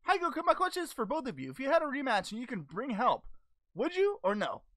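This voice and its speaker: A performer with a high voice playing a cheerful, simple-minded alien martial-arts hero who hosts a fan question show, halting and blunt. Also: huge pitch swings, a bit bright, dry recording, full character voice. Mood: upbeat, chatty. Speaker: host reading fan questions.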